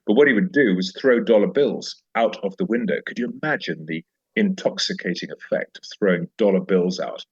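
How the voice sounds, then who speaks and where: A man's voice: deep voice, brisk, husky. A middle-aged man speaking as a podcast guest in an emphatic literary discussion, repeating phrases and posing rhetorical questions, on a dull recording. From South Africa. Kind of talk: animated conversational literary discussion.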